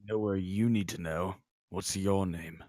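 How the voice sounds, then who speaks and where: A man reading the part of a huge paladin knight in a screenplay table read, gruff, terse and commanding. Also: low voice, fairly even intonation, unhurried, mellow, no echo, clean studio sound. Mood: gruff, businesslike.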